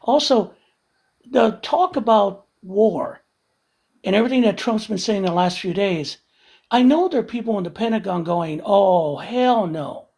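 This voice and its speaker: Male guest, husky voice, heated and profane. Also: sits high, animated, unhurried, darkish tone. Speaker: regular guest. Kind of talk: casual live-stream chat.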